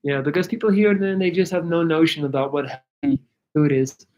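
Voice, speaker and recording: A man from Belgium chatting casually on a livestream, answering viewer questions in a rambling, halting way with many restarts. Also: a little high, darkish tone. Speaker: YouTuber answering live chat.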